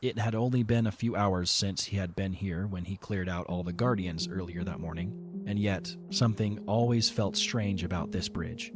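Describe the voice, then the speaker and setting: A man giving scripted narration for a fan audio drama, in a measured, even storytelling manner. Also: deep voice, faint music behind.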